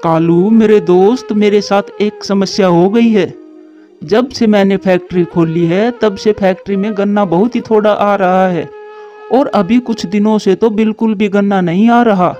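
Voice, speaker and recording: Man as a mellow, earnest cartoon pigeon. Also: high voice, steady tone, measured pace, highs cut off, soft background music. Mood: worried. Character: worried male pigeon factory owner, grateful friend. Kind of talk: Hindi cartoon voice acting.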